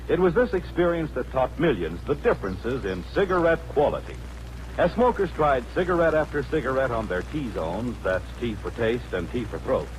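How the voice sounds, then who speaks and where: Lively 1940s radio announcer man. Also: medium pitch, a little hiss or hum, rough recording. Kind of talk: 1940s radio ad, scripted narration.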